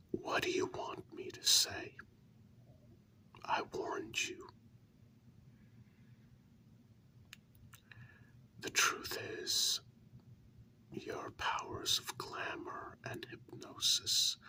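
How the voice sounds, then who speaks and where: A performer whispering slowly and breathily in an ASMR role-play, playing a gentle, candid human confiding in a vampire partner. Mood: wry, defiant. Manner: slow, hushed, intimate, with pauses.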